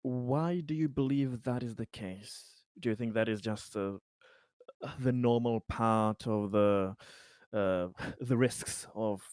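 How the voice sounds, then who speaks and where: A man playing a probing, polite corporate interviewer in a tabletop roleplaying game, speaking in a halting, deliberate way. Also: medium pitch, darkish tone, clean tone, no room sound, close to an everyday voice. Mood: politely probing.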